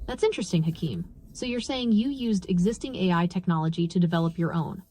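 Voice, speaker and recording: Polite female interviewer amid clear background noise. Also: fast, mellow. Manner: polite, formal, affirming before each question. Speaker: interviewer, AI reporter. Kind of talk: scripted-sounding interview questions. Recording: noisy recording with vehicle-like rumble.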